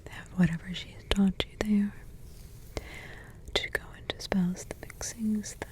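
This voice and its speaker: A woman's voice: mid-range voice, steady tone, lightly acted. Woman doing a whispering old forest witch. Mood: hushed musing. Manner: rambling, halting whispers with many fillers. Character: old forest witch, grumbling yet doting mother and grandmother. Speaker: ASMR roleplay creator. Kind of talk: ASMR roleplay whispering.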